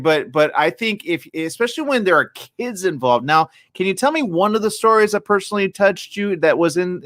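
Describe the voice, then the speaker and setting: A man's voice: sits high, a bit bright, dry recording, clean studio sound. A man interviewing a filmmaker in a casual, chatty way, often restarting his sentences.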